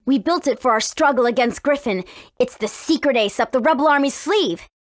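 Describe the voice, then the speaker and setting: A woman's voice: high voice, expressive, brisk, no echo, clean studio sound. A woman voicing a spirited, cautious fifteen-year-old warrior princess in a video game, earnest and youthful in her delivery. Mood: earnest, explaining. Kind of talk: English video game voice acting.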